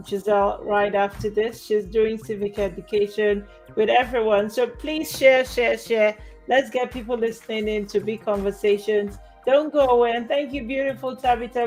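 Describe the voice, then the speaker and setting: A woman hosting a live talk show, chatty and upbeat as she welcomes and thanks her guests. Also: on the high side. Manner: upbeat, chatty, warmly promotional. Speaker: host.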